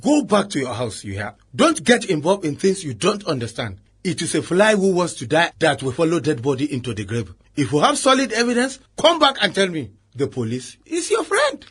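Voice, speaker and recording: An actor with a Nigerian accent playing a cynical, patronising senior police chief in a radio drama, theatrical and full of proverbs. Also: male voice, a little high, big pitch swings, a little fast, hardly any pauses, a bit bright, husky, heavily acted. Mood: dismissive.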